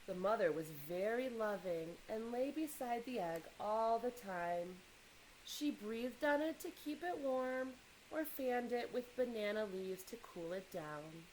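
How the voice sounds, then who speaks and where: Animated, slow-paced woman reading to children. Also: on the high side, slight background noise, low-quality recording. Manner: expressive, engages listeners with questions and asides. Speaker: storyteller reading a children's picture book. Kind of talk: animated read-aloud to young children.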